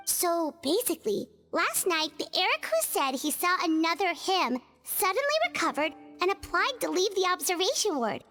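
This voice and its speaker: A small female creature working as a nurse in a video game. The voice is extremely high and childlike, with a sweet, earnest manner and careful wording. Music plays softly behind it. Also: big pitch swings, normal speed, few pauses, full character voice. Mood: explaining.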